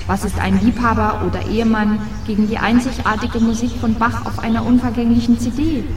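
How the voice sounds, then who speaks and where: A woman's voice: mid-range voice, darkish tone, close to an everyday voice. An actress playing a self-justifying single career woman in an audio drama, speaking in an articulate, theatrical way. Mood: insistent, declaiming. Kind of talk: audio drama monologue and dialogue. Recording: echoey room, faint background noise.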